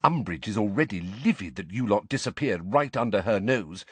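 A man voicing a brisk, bossy, clever teenage schoolgirl in an audiobook, airy and impatient in manner. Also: fairly low pitch, animated, husky, dry recording. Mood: airy, concerned.

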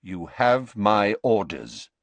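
Man acting old wizard headmaster, wise, commanding, slow deliberate phrasing, animated pitch, storybook character. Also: dry recording, full character voice. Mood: stern. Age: elderly. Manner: deliberate, authoritative, solemn.

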